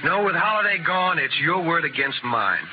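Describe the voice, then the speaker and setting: An actor playing a cocky, cold-blooded young rancher's son with taunting menace in a 1950s western radio drama. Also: male voice, on the high side, big pitch swings, normal speed. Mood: smug, threatening. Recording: muffled, noisy vintage radio recording.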